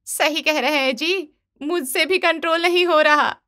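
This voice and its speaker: A woman plays a well-off middle-aged lady in a cartoon tale. Her voice is very high and sharply bright, polite and effusive, recorded dry. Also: measured pace, dry recording. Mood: gushing. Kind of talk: animated Hindi moral-story dubbing.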